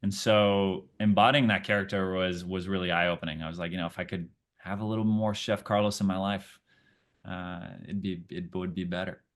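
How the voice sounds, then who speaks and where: Chatty lower-voiced man, native Portuguese speaker. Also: fairly even intonation, normal speed. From Brazil. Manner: friendly, chatty, thinks aloud with fillers. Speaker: actor, interview guest. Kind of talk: casual interview answers.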